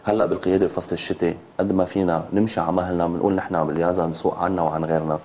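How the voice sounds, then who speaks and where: A Lebanese man in his fifties speaks as an interview guest in a deep, soft-edged voice. He talks very quickly and insistently, often repeating phrases. There is some noise behind him. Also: flat delivery. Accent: Lebanese Arabic. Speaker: road safety advocate, TV guest. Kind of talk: studio interview. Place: TV studio. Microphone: lapel mic.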